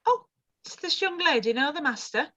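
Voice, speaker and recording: Radio play: woman as an elderly housekeeper, proud and talkative, higher voice. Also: sing-song, normal speed, muffled recording. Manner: chatty, warm, old-fashioned servant speech. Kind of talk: live-read radio play.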